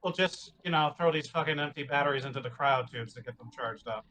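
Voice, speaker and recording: A man's voice: medium pitch, quick pace, pushed and bright, a little hiss or hum. A man playing a tabletop roleplaying game, chatting in character in a wry, salty way. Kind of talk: casual in-character chat.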